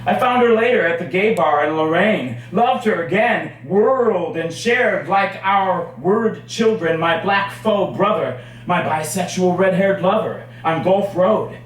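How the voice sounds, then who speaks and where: A man performing his own poetry at a live reading, with measured, dramatic phrasing and deliberate pauses. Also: high-pitched, a bit bright. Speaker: poet reading own work. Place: indoors at a cafe poetry reading. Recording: very echoey room, distant mic, faint noise.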